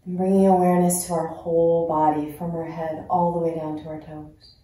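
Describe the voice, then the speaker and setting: A woman leading a guided meditation in a soothing, unhurried manner with long pauses between phrases. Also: low-pitched, level tone, warm tone. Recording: very echoey, reverberant sound.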